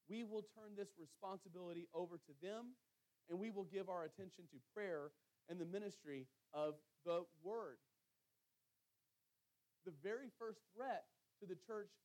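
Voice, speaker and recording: High-pitched man preaching a sermon. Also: a little mellow, low-quality recording. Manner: emphatic pauses and repetition for effect. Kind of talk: sermon with scripture reading and storytelling.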